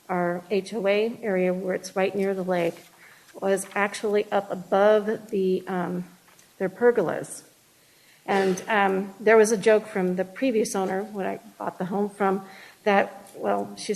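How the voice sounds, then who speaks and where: A woman's voice: mid-range voice, a little mellow, low-quality recording. A woman speaking during public comment at a city meeting, hesitant and full of fillers and restarts.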